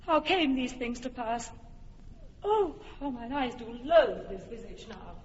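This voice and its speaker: A woman's voice: a little high, sing-song, unhurried, long reverb tail, crowd noise. An actress playing an enchanted fairy queen, speaking verse in a lyrical, lingering way in a classic radio drama. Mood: bewildered. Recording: muffled old radio recording with hiss.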